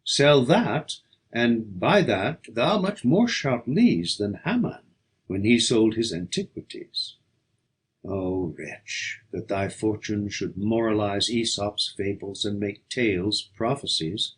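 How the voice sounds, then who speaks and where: A man's voice: on the low side, expressive, slow, breathy edge. A man reading poetry aloud as an audiobook, in a declamatory, theatrical manner. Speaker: audiobook reader. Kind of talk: dramatic poetry recitation.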